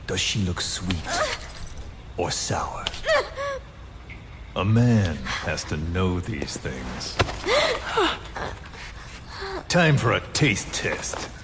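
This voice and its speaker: A sadistic male interrogator speaks slowly in a low voice. He taunts with cold, deliberate menace and a mock-philosophical air. The recording has clear background noise. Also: lively intonation, full character voice. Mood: sadistic taunting. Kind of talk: video game voice acting, dramatic scene.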